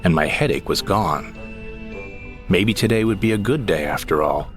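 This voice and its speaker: A man's voice: low voice, expressive, normal speed. A man narrating a comic audio drama in the first person, in a wry, theatrical storytelling manner. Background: music.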